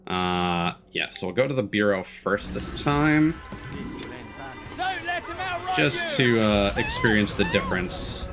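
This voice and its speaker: A man's voice: lowish voice, huge pitch swings, slow, long pauses. A man giving casual, easygoing gameplay commentary as a streamer, musing aloud in an amused way. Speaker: game streamer. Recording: game music behind the voice. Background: video game music.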